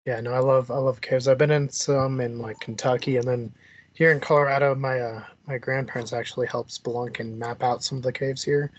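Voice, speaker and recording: A man's voice: medium pitch, steady tone, a little fast. A man from the United States hosting a podcast over a video call, chatting casually. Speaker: podcast host. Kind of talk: casual chat. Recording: slightly dull lo-fi call audio.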